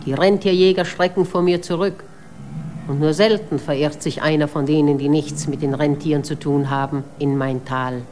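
A woman voicing the ancient, menacing spirit of a lake valley in a radio drama, speaking low and brooding. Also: fairly even intonation, mellow, slight background noise, close to an everyday voice. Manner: slow, brooding, threatening.

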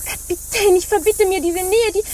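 An actress playing a touchy, prim female pirate in a radio drama, formal, halting and indignant. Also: very high-pitched, steady tone, a little mellow, a little hiss or hum. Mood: agitated. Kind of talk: German radio drama acting.

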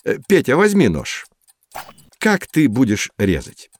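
A man's voice: medium pitch, huge pitch swings, measured pace. A man hosting an educational programme, explaining things to young listeners in an articulate, didactic way. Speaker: host of an educational audio programme. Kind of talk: explanatory narration for children.

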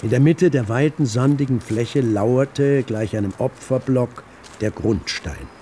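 An actor playing a literary chronicler, narrating a radio drama with measured, articulate and deliberate phrasing. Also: male voice, medium pitch, lively intonation, dark tone, a little hiss or hum, lightly acted. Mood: grave, measured.

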